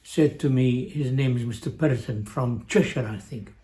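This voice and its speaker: An older man with an Arabic accent recalls his school days at a mid pitch, slow and unhurried. He stops often, restarts his sentences, and chuckles along at his own story. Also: warm tone. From Jordan. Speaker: family show guest, father. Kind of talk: storytelling, casual family chat.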